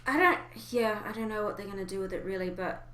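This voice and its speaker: A woman's voice: medium pitch, quick pace, pushed and bright. A woman chatting casually about fan theories, speaking in an opinionated, emphatic way. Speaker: podcast co-host. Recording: roomy, echoey voice recording.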